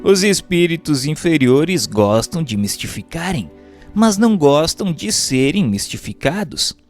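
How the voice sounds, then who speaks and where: A man's voice: a little high, big pitch swings, unhurried, faint music behind. A man with a Brazilian accent reading a doctrinal text aloud as a narrator, in a formal, didactic way. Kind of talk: scripted audiobook-style narration of a doctrinal text.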